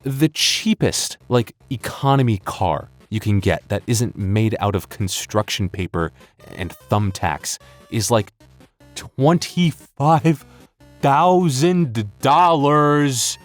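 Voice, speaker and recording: A man's voice: mid-range voice, lively intonation, takes it slowly, no echo, soft background music. An American man chatting casually to his listeners as an audio creator, rambling and joking with exaggerated comic exasperation. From United States. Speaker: audio roleplay creator and voice actor.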